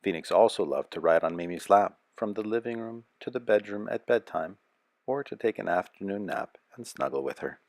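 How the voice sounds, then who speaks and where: Deep-voiced man reading a children's story. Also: lively intonation, fairly quick, gravelly, a little mellow, no echo. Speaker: storybook narrator. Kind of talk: scripted children's story read-aloud.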